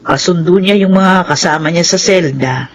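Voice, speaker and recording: A woman's voice: fairly low pitch, moderate pace, lo-fi sound, a little hiss or hum, lightly acted. An actor playing a kindly older woman in a Filipino radio drama, consoling and homely in manner. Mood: chatty. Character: kindly older woman, a caring prison visitor.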